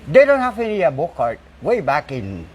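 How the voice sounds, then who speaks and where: Man, 60s, slightly high pitch, halting speech, many fillers. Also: wildly swooping pitch, a little mellow, slightly rough. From Saipan, Northern Mariana Islands. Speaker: bull cart maker and farmer. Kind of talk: casual interview talk. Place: outdoors at his home.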